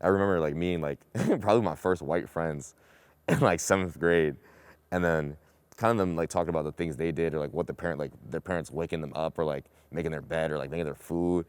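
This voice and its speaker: A young man from Boston with a very deep, low voice. He talks in long, loose runs packed with fillers. The sound is close and dry, with no room echo. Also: brisk, smooth. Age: young adult. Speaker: student panelist. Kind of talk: casual group discussion.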